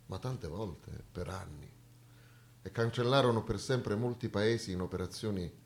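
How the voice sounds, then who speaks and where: Solemn southern Italian stage monologist, a man. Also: lowish voice. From southern Italy. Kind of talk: theatrical monologue.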